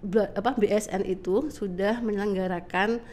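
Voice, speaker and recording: A woman's voice: medium pitch, fairly even intonation, moderate pace, darkish tone. Slightly nasal middle-aged woman, explaining. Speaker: interview guest, government agency director. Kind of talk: conversational explanatory answers.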